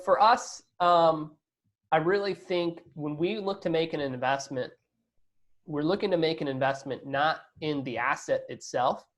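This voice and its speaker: Emphatic man explaining in an interview. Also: a little high. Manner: emphatic, checks with rhetorical "right?". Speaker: investor being interviewed. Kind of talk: conversational business interview.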